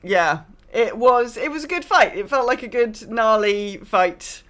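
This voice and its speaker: A woman's voice: fairly high pitch, animated, measured pace, fairly bright tone. A woman hosting a podcast in casual, chatty conversation, with a giggly, conversational manner. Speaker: podcast host and game master.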